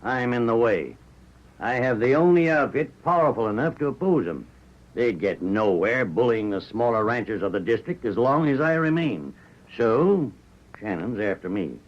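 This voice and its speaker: An actor playing a firm, middle-aged American ranch owner reasoning in a measured, articulate way in a 1940s radio Western. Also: male voice, mid-range voice, lively intonation, natural voice. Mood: earnest. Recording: muffled vintage 1940s radio recording.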